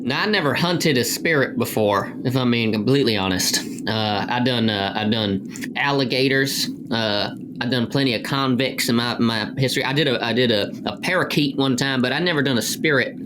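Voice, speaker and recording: A man's voice: mid-range voice, fairly quick, background noise, close to an everyday voice. A man playing a folksy bounty hunter in an improvised tabletop game, rambling and hesitant as he talks. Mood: hesitant, candid. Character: folksy, rambling male bounty-hunting tracker. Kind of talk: improvised tabletop role-play. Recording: music behind the voice.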